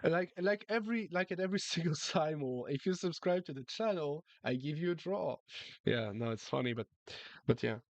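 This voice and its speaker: A man's voice: a little high, animated, fairly quick, no echo. A man chatting casually on a live chess stream, reading the chat and often repeating his words. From France.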